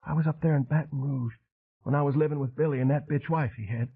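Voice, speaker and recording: A man voicing an unstable madwoman with a high, trembling voice, fragile and fervent. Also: fairly even intonation, fast, breathy edge, narrow, tinny audio. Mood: wounded, rambling.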